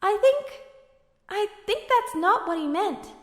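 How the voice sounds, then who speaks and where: A woman voicing an earnest, gentle younger brother in an audio story, soft-hearted and a little hesitant. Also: very high-pitched, lively intonation, takes it slowly, echoey room, in a large hall, voice pushed up, full character voice.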